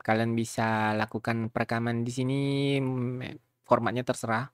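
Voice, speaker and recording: A man's voice: medium pitch, completely flat delivery, normal speed, no echo, clean studio sound. A man casually walks viewers through a tutorial, chatting informally and often checking in with them. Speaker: YouTuber giving a software tutorial. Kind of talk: casual screen tutorial with streamer slang.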